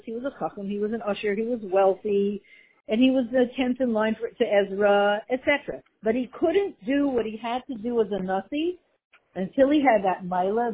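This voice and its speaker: Female Torah teacher, animated lecture style, slightly husky, tinny phone-line recording. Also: mid-range voice. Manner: lively, mixes Hebrew and Yiddish terms, searches for words. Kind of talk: Torah class explaining a text.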